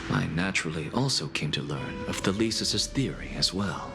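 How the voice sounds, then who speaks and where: A low, theatrical voice plays a proud scholar in a video game. He is dry, sardonic and defiant, with huge swings in pitch. Music plays clearly behind him. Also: lively intonation, moderate pace. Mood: grave, reflective. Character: proud, sardonic scholar man, brilliant and defiant heretic alchemist. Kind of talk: dramatic video game voice acting.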